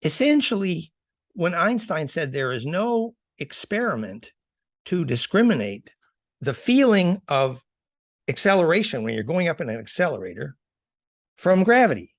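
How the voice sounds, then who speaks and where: Animated, emphatic man lecturing. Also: on the high side, moderate pace, dry recording, studio quality. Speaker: presenter of fringe physics theories. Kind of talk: informal slide lecture.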